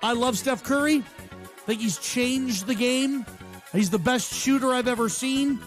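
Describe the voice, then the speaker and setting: An American man with a notably high-pitched voice hosts sports talk radio. He comments casually and with strong opinions, using plenty of slang and repeating himself for emphasis. Faint music plays behind him. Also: unhurried. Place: radio show.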